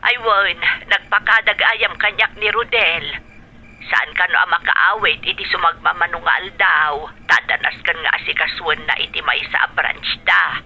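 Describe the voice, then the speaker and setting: A woman's voice: lowish voice, a little slow, muffled recording, soft background music. A woman playing a caring, fussing older sister in an Ilocano radio drama. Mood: concerned, fussing.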